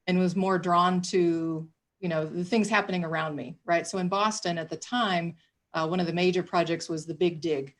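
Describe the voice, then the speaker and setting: A woman's voice: on the low side, steady tone, moderate pace. A woman in her fifties from Michigan with a Michigan accent, a city official chatting through her background on a panel. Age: 50s. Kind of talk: panel introduction.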